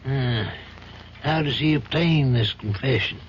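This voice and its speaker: An actor playing a calm, patient older judge in a radio drama, speaking in a measured, fatherly way. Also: mid-range voice, lightly acted. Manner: measured, fatherly, gently probing. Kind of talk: 1970s radio drama acting. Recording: dull old radio broadcast, faint hiss.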